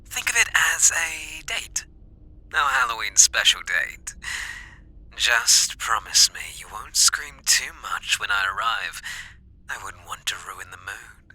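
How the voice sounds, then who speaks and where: A British man playing an obsessive, seductively playful masked killer, taunting in whispery, drawn-out questions close to the mic in an ASMR roleplay. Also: mid-range voice, sing-song, bright, forceful tone, heavily acted, low-quality recording. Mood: menacing, eager. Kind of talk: ASMR roleplay, intimate monologue. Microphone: close microphone.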